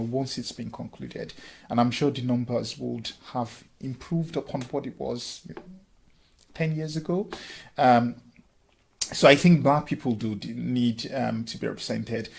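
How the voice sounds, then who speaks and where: A middle-aged Nigerian man gives an oral history interview, his voice husky and gravelly. He speaks in halting sentences, often stopping on "um" and starting again. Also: medium pitch, darkish tone. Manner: hesitant, many ums and restarts. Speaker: interviewee, lecturer and councillor.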